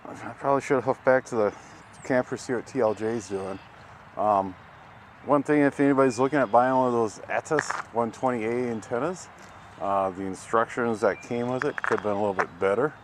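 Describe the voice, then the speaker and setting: A man's voice: medium pitch, normal speed. An American man giving a rambling, folksy, casual vlog narration, recorded outdoors on a handheld camera. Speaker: vlogger. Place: outdoors at a campground. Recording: outdoor camcorder with ambient vehicle noise.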